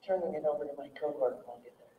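A woman's voice: low voice, rapid-fire. An older woman moderating a public forum from a podium in a large community hall, chatty and laughing easily as she hands things over. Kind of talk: casual emcee remarks. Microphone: podium mic. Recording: echoey hall, distant, dull, faint hiss.

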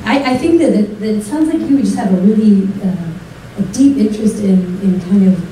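Woman, panel moderator, mellow voice, hesitant questions, very echoey hall, distant mic. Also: medium pitch, a little hiss or hum. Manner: measured, hesitant, with fillers. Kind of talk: interview questions. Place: large convention hall. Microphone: table mic on a stand.

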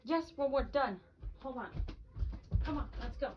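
Woman acting a halting, high-voiced flustered girlfriend. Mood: reassuring, bossy. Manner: halting, repetitive, hesitant. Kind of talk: ASMR girlfriend roleplay. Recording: dull lo-fi audio with faint noise.

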